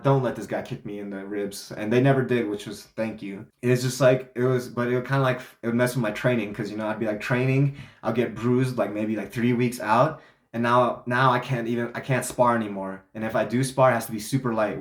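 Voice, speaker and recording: A man's voice: medium pitch, roomy sound. A young man telling a story in a casual, rambling way, full of fillers and restarts, sounding congested. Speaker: vlogger.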